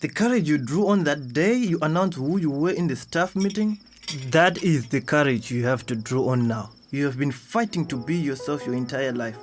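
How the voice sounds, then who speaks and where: A man's voice: fairly high pitch, animated, moderate pace, a little hiss or hum, lightly acted. A man with a Zimbabwean accent playing a supportive, sincere and encouraging friend in a radio drama.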